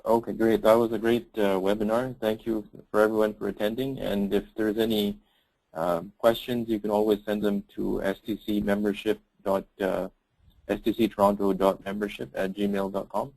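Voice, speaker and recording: A man's voice: fairly low pitch, flat delivery, normal speed, vocal fry, a little mellow, dry recording, low-quality recording. A man acting as webinar organizer, giving informal closing remarks in a polite, somewhat hesitant way. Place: indoors, online call.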